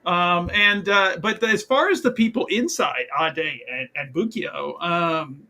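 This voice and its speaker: A man playing a tabletop roleplaying game, improvising in conversation and often halting and repeating his words. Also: high voice, animated, pushed and bright. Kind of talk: improvised in-character game chat.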